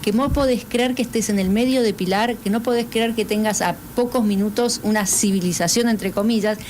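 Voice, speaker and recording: A woman's voice: medium pitch, fairly quick, darkish tone, slight background noise. A woman co-hosting a radio talk show, chatty and asking the guest a string of questions. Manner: chatty, asks many questions. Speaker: radio co-host. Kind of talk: radio studio conversation. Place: radio studio.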